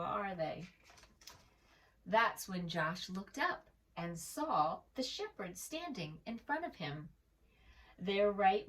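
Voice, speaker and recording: A woman telling a children's story in an expressive, gentle manner, as a read-aloud for preschoolers. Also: on the low side, far from the mic. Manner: expressive, gentle, asks the listener questions.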